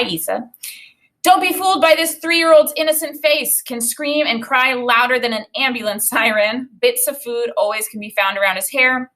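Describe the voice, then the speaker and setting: A woman in her thirties teaching a read-aloud lesson, enthusiastic and expressive, thinking out loud in a conversational way. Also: fairly high pitch. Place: small home room, indoors.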